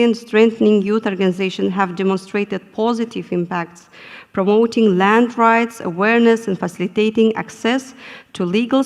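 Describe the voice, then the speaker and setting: Woman moderator, gravelly edge, formal and hesitant, reverberant hall. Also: medium pitch. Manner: measured, with frequent hesitations. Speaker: panel moderator. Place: conference hall. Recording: echoey conference hall.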